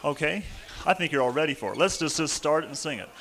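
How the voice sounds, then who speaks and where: A man's voice: fairly high pitch, fast talker, creaky, fairly bright tone, a little hiss or hum. A man leading a group folk dance, calling the steps and cracking jokes between sung lines. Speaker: square dance caller.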